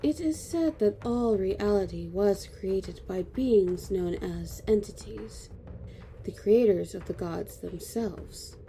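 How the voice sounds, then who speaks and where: Woman acting a dark-voiced, slow, somber elf noblewoman. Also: medium pitch, poor audio. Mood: somber, measured. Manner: measured, somber. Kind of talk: fantasy audio drama narration. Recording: clear background noise and ambience.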